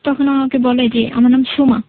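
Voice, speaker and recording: A woman's voice: sits high, level tone, fairly quick, phone-quality sound. A young woman with a Bangladeshi accent, a guest telling her personal story conversationally and quoting reported dialogue.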